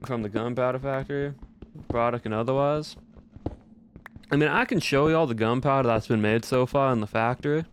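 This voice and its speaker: An easygoing Southern cowboy played by a man chats in a mid-pitched, folksy drawl that blends several Southern US accents. He is courteous and casual, like a game streamer in character, with clear background noise and clicks. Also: a little fast, lightly acted. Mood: easygoing, chatty. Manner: folksy, courteous, uses y'all and ain't. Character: folksy male Southern cowboy gunpowder-factory owner, easygoing. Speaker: livestreamer playing a game. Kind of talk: casual in-character livestream chat.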